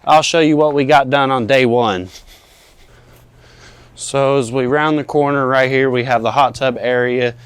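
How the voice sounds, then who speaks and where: A man's voice: mid-range voice, fairly even intonation, unhurried, clean tone, no echo. American male installer, informal explanatory vlog tour, clean resonant mid-range voice, recorded outdoors in a backyard. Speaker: audio-video installer presenting a finished project. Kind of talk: casual walkthrough vlog.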